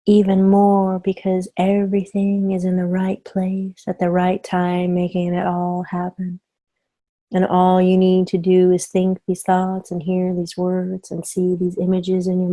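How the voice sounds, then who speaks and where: Low, mellow, very even-toned female hypnotherapist. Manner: soothing, deliberate, emphatic repetition. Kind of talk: scripted guided hypnosis affirmations.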